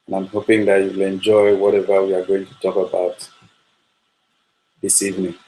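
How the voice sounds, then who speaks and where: A man speaks in a low voice that barely rises or falls. He is a football coach, talking at a steady, measured pace with frequent ums and uhs, and he keeps circling back to the same points. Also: slight background noise, low-quality recording. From Nigeria. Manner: measured, repetitive, with frequent fillers. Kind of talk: spoken coaching talk.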